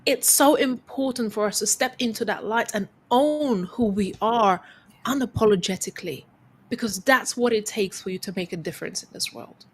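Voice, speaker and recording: A woman's voice: mid-range voice, normal speed, a little hiss or hum. A woman guest on an interview show, telling a personal story in an earnest, coaching way and repeating phrases for emphasis. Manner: calls herself quiet-voiced. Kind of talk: reflective motivational storytelling.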